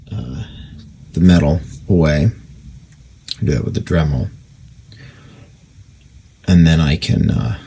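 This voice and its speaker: A man giving casual, hands-on repair commentary in a gravelly, husky voice, thinking aloud informally as he works. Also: low voice, a little mellow. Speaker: radio repairer presenting his restoration.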